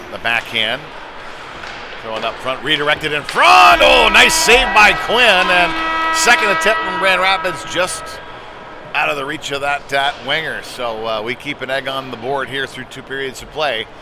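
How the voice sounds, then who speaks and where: A man's voice: medium pitch, measured pace. A man doing informal, conversational live play-by-play commentary of a hockey game. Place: indoor community ice rink. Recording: noisy ice arena ambience.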